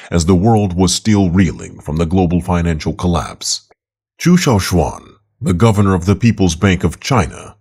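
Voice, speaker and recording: Male, very low pitch, lively melodic range, scripted article narration. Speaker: narrator reading an article aloud.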